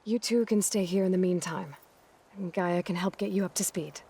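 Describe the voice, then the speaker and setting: A woman's voice: on the low side, steady tone, moderate pace, warm tone, in a large hall, a little hiss or hum, lightly acted. A young woman acting an earnest, burdened but determined hero in a video game, in an understated and hesitant manner. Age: young adult.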